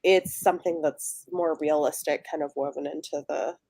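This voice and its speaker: A woman's voice: low-pitched, steady tone, moderate pace, warm tone, no echo. A woman talking casually and reflectively in a book discussion on an online call. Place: live online video call.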